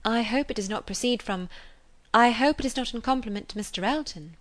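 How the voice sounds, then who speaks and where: A woman's voice: on the high side, fairly quick, lightly acted. Woman reading as a composed, advising young lady. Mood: surprised, careful. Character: composed, judicious, gently superior young lady giving advice. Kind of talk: dramatised audiobook character reading.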